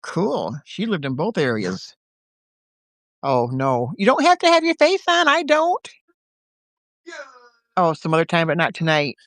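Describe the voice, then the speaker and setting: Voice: mid-range voice, huge pitch swings, a little slow, long pauses, fairly bright tone, dry recording, clean studio sound. A chatty livestream host at home in the countryside, recovering from a bad cold, talking casually and laughing often. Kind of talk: casual live-video chat.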